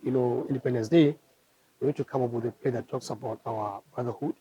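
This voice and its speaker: A man's voice: medium pitch, fast, dark tone, lo-fi sound. A man with a Nigerian accent giving an explanatory answer in an interview.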